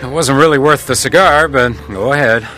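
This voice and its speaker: A man's voice: mid-range voice, moderate pace, pushed and bright, soft background music, close to an everyday voice. A man playing a composed, probing hotel house detective in a radio drama, with a dry, laconic manner. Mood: dry, coaxing.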